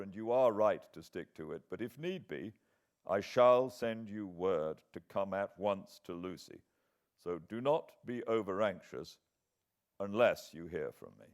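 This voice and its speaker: A British man narrating a classic novel as an audiobook, with measured, expressive dramatic storytelling. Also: fairly low pitch. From Britain.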